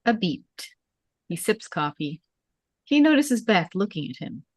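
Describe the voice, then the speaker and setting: A woman's voice: mid-range voice, very animated, measured pace, dry recording, clean studio sound. A woman narrating a script at a table read, reading out scene headings and stage directions.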